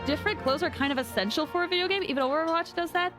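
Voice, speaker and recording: A woman's voice: very high voice, racing. A woman streaming a game and chatting casually with viewers in an informal, rambling way. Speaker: live streamer playing a game. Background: game music.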